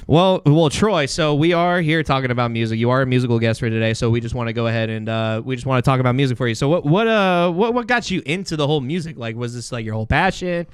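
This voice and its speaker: A man's voice: medium pitch, quick pace, few pauses, smooth, dry recording. Young male host, jokey and playful, clean resonant voice, dry close recording. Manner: jokey, teasing, laughs often. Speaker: podcast host. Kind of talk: casual joking chat.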